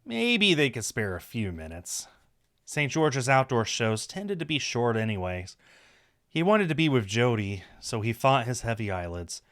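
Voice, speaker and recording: A man's voice: medium pitch, very animated, unhurried, a bit bright. A man reading a visual novel aloud on a stream, narrating the story with casual asides.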